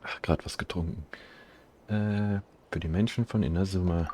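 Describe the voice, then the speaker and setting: A man's voice: low voice, fairly even intonation, normal speed, warm tone, a little hiss or hum. A man chatting casually on a live game stream, commenting and reading dialogue choices aloud. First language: German.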